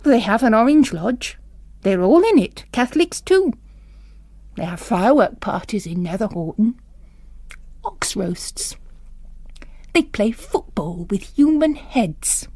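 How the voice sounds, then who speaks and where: A woman as a weary, sardonic priest, slow-spoken. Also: fairly high pitch, big pitch swings, darkish tone, dry recording, exaggerated voice. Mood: wry. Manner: grave, wry.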